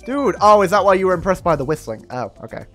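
Excitable young man, lively and high-pitched. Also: fast talker. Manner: excitable, exclamatory, swears freely. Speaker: game streamer. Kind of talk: casual gaming commentary with friends. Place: indoor room streaming setup. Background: video game music.

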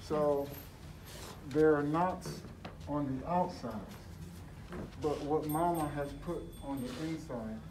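Man, sculptor, halting talk, heard from afar in a roomy gallery with audible room noise. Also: a little high. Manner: halting, searching for words. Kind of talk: casual explanation of artwork. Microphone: far camera. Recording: echoey room, distant mic.

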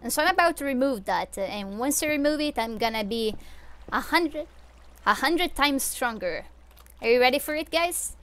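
A woman's voice: sits high, animated, moderate pace, a bit bright. A young woman from Switzerland chatting casually while livestreaming a game, rambling with many fillers and little laughs, into a desk microphone at home. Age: young adult. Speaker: streamer. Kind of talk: casual live gameplay chat. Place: home room.

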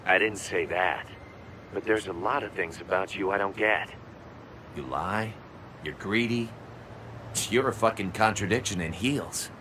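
An actor playing a brash, foul-mouthed assassin in a video game, blunt and intense, with a gravelly voice. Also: male voice, sits low, measured pace, sharp tone, long reverb tail, slight background noise. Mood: gruff, deadpan. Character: brash, foul-mouthed male assassin, cynical yet idealistic. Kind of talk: video game cutscene acting.

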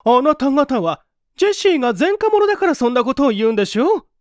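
A man's voice: extremely high pitch, very animated, fast talker, dry recording, clean studio sound, pitched above the performer's own voice. A man voicing an indignant, defiant family member protesting an accusation in a story reading. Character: indignant mother and son protesting police accusation.

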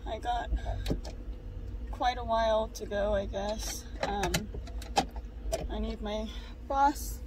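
A woman's voice: on the high side, steady tone, slow, pauses often, distant mic, very noisy. A woman in her twenties chatting casually to her vlog audience from a parked car, rambling and hesitant with lots of ums. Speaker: vlogger. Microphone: phone camera propped low in the car.

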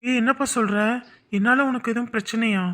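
A woman playing a humble, sincere young man in love in a romantic drama, earnest and halting. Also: on the high side, fairly even intonation, a bit bright, natural voice. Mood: downcast, humble. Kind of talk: romantic story drama acting.

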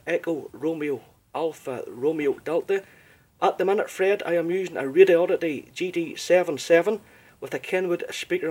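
A man's voice: on the high side, a little mellow. A man from Northern Ireland with a Northern Irish accent, chatting politely as an amateur radio operator, full of compliments. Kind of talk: casual ham radio contact.

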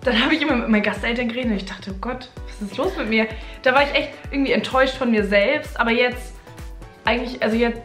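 A woman's voice: a little high, quick pace, fairly bright tone, roomy sound, soft background music. A young woman vlogging in a home living room, chatting casually and hesitantly with many fillers. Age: young adult. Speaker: au pair vlogger. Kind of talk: casual chat.

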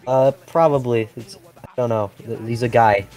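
A man voicing a blunt, sarcastic female tech operator in an improvised comedy fan dub, deadpan and swearing. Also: mid-range voice, moderate pace, faint music behind, lightly acted.

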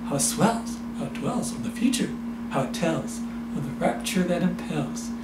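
A man's voice: fairly high pitch, lively intonation, moderate pace, over loud music. A man in his sixties giving an intense, theatrical poetry recitation, drawing out repeated words. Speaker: poetry performer. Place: small indoor room. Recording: echoey room, loud background noise.

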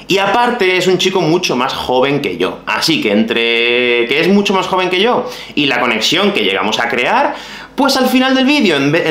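Man, 30s, softened Andalusian accent, language teacher, lively pitch, talks to viewers, echoey small room. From Málaga, Spain. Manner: animated, gestures with his hands, addresses viewers directly. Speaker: Spanish teacher. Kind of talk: explaining to the camera for language learners.

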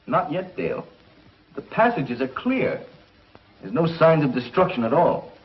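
An actor playing a grave, commanding scientist in a 1950s television serial. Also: male voice, a little high, very animated, measured pace. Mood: concerned. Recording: muffled 1950s TV soundtrack, faint noise.

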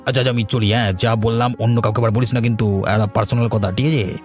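A man's voice: medium pitch, steady tone, fast talker, a little mellow, soft background music, natural voice. A man playing a greedy, scheming village uncle in a cartoon, coaxing slyly with hesitations and tag questions. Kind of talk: cartoon voice acting.

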